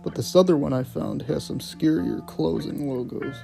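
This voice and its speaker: A man's voice: on the high side, moderate pace, vocal fry, dark tone, faint music behind. A man hosting a casual video essay on horror clips, speaking in an informal, opinionated way with plenty of swearing. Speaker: host of a video series reviewing analog horror.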